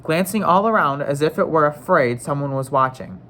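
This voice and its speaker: A man's voice: fairly high pitch, animated, moderate pace, bright, forceful tone, a little hiss or hum. A man narrating a children's novel in a read-aloud style, acting out the dialogue.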